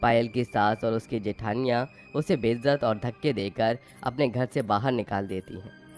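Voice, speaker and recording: A man's voice: mid-range voice, normal speed. A man narrating a scripted moral tale as its storyteller. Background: faint music.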